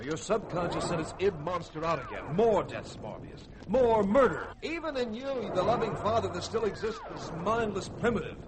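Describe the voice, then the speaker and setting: A man playing an earnest, commanding young spaceship officer, speaking insistently and dramatically in a 1950s radio drama. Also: high voice. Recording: noisy old radio recording.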